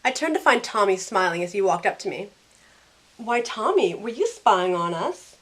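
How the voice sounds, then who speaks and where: A woman's voice: mid-range voice, sing-song, moderate pace, husky. A woman playing a sarcastic, self-questioning young woman who narrates her own story in an audio drama. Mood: bright, playful. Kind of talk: first-person audio drama narration and dialogue.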